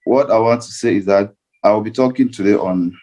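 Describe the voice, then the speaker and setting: A man's voice: on the low side, fairly quick, warm tone, low-quality recording. A young man with a Cameroonian accent speaking as a panel guest, searching a bit for his words. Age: young adult. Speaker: guest speaker, student climate activist. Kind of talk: informal panel speech.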